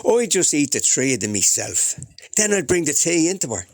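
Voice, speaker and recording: A man's voice: fairly high pitch, big pitch swings, a little fast, dry recording, clean studio sound. A man playing a henpecked, placating but sly Irish husband with a Dublin accent in a comedy radio drama, hesitant and grumbling. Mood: sheepish.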